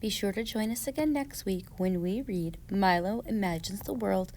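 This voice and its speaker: A woman's voice: mid-range voice, moderate pace, darkish tone, a little hiss or hum. A woman giving scripted, step-by-step craft instructions for children in a patient, playful way.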